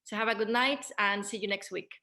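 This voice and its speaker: Polite woman hosting, mid-pitched voice. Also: a little fast, sharp tone. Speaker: host of a theatre Q&A. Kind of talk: closing thanks and announcements.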